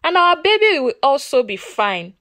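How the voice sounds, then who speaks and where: A woman's voice: sits high, big pitch swings, normal speed, a bit bright, no room sound, heavily acted. A Nigerian-accented woman voicing an anguished, devoted wife and mother in a folktale, pleading and tender. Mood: tender reassurance.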